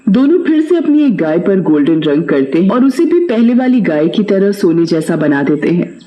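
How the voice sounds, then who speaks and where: A man's voice: high-pitched, dark tone, dull audio. A man expressively narrating a scripted children's story, with a roomy echo on his voice.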